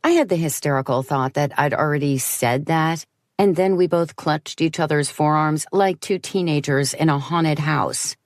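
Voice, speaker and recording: A woman's voice: low-pitched, moderate pace, no echo. A woman reading first-person horror fiction as an audiobook narrator, in a wry, conversational storytelling way.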